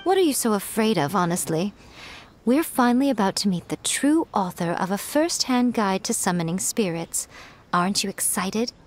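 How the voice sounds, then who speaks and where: A woman's voice: mid-range voice, animated, moderate pace, natural voice. A woman voicing a sly, teasing shrine priestess who stays coolly amused. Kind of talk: video game voice acting. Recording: faint game music behind.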